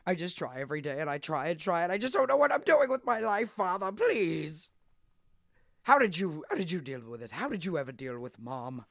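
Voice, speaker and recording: A man's voice: sits high, big pitch swings, fairly quick, vocal fry, fairly bright tone, no room sound, clean studio sound, voice pushed up, exaggerated voice. A man playing a fussy, obsessive cartoon son who vents to his father in a whiny, aggrieved, dramatic way. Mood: pleading.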